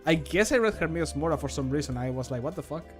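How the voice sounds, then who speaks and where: A man's voice: a little high, animated, quick pace. A man streaming casually as he works, chatting in an informal, jokey, sweary way. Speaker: streamer and amateur voice actor. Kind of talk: casual livestream chat while working. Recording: music in the background. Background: music.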